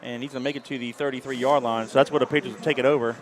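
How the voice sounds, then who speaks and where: A man calling play-by-play for a high school football game, openly rooting for the home team. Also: fairly even intonation, rapid-fire, slight background noise. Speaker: play-by-play announcer. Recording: music in background.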